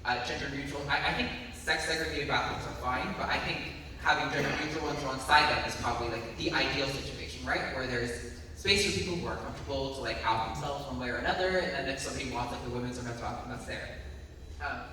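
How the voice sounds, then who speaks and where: A man's voice: medium pitch, steady tone, fast, sharp tone, slight background noise. A man presenting and taking audience questions in a loose, hedging way, with many restarts. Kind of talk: casual Q&A talk. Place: lecture room with audience. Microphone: phone camera at a distance. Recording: echoey hall, phone from far off.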